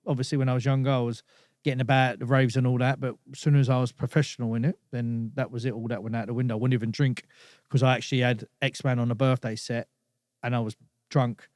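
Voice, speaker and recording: A man's voice: medium pitch, flat delivery, fast talker, darkish tone, dry recording, studio quality. Laid-back man in his thirties. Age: 30s. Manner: laid-back, fills talk with "you know what I mean". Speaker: podcast guest. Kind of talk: casual storytelling chat.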